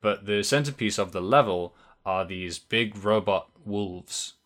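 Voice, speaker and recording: A man co-hosting a casual podcast, with a lowish voice and a bright, forceful edge to his tone. He rambles and restarts his sentences as he talks through a video game. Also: a little slow. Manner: rambling, many restarts and fillers, laughs often. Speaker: podcast co-host.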